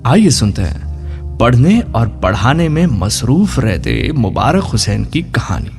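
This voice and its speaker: A male narrator tells a scripted story in a theatrical way. His mid-pitched voice rises and falls widely, with music underneath. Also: huge pitch swings, unhurried, gravelly, darkish tone. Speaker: narrator of an audio story series.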